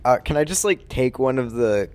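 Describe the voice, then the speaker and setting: A man playing a tabletop game, chatting casually in a hesitant, halting way. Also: medium pitch, lively intonation, darkish tone. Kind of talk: casual chat during a game. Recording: noisy room recording.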